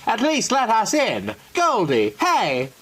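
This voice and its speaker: A man's voice: sits high, huge pitch swings, slow pace, fairly bright tone, a little hiss or hum, voice pushed up, exaggerated voice. A man voicing a gangster's fussing female companion, pleading and scolding in a horror comic dramatization.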